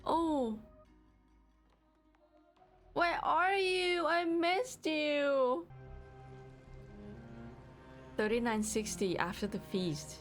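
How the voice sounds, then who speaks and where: A woman's voice: sits high, very slow, long pauses, a bit bright. A woman streamer casually reacting to a game, thinking aloud in short remarks. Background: game music.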